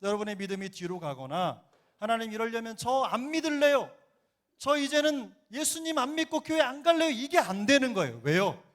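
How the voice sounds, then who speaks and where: A man's voice: very high-pitched, lively intonation, moderate pace, fairly bright tone. A middle-aged man with a slight Jeolla accent, preaching as senior pastor in a church, earnest and building to emphatic exhortation. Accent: Jeolla dialect. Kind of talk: sermon. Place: church sanctuary.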